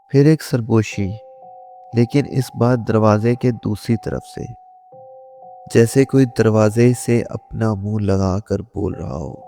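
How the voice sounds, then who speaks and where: A man's voice: medium pitch, gravelly, mellow. A man narrating a scripted scary story in the first person, in a suspenseful, dramatic storytelling manner. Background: faint music.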